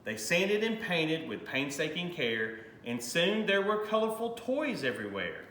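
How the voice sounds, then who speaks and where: A man reading a rhyming children's storybook aloud in an expressive, animated way. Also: on the high side, measured pace. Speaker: high school principal reading a picture book aloud. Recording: roomy echo, faint background noise.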